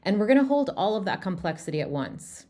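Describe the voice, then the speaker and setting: A woman hosting a podcast, reading a scripted introduction in an earnest, deliberate way. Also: medium pitch, sing-song, a little mellow. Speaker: podcast host. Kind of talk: scripted narration.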